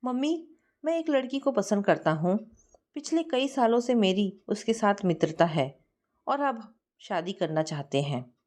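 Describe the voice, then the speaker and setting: Female narrator as troubled young son in a family story, earnest, protesting, lively intonation. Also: medium pitch, measured pace, natural voice. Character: troubled young man resisting an arranged marriage.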